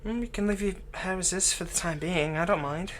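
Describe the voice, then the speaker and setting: A man playing a doting, lightly teasing fantasy villain captor in an ASMR roleplay, speaking softly and tenderly, coaxing the listener without hurry. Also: sits high, a little hiss or hum. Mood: gentle, reassuring. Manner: soft, coaxing, unhurried, tender.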